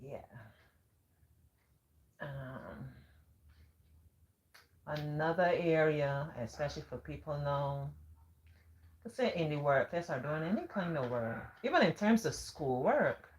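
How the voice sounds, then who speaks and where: Barbadian woman, live-stream host; deep, calm, sing-song delivery. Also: a little hiss or hum. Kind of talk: casual live-stream talk.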